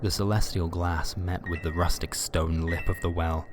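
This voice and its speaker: A man narrating a scripted fantasy story in a measured, dramatic way with wry asides. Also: sits low, flat delivery, over music. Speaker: storyteller, narrator of a fiction podcast.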